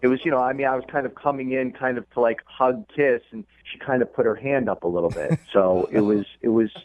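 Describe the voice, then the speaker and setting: A man's voice: mid-range voice, a little fast. Defensive man on a tinny phone line. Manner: defensive, hedging. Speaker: radio call-in guest. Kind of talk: casual phone conversation, recounting. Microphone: telephone.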